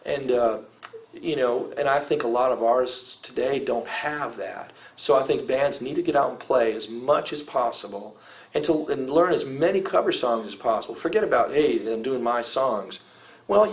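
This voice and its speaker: A middle-aged man who sings rock, talking in a casual interview, rambling with many fillers and restarts. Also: medium pitch. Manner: rambling, heavy use of "you know" and "I mean", frequent restarts. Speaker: rock vocalist giving advice.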